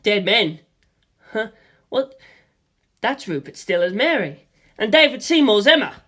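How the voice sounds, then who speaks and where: A woman voicing an excitable male engineer and former pilot. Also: mid-range voice, a little slow, pitched above the performer's own voice. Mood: shocked. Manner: excited, loud, panicky.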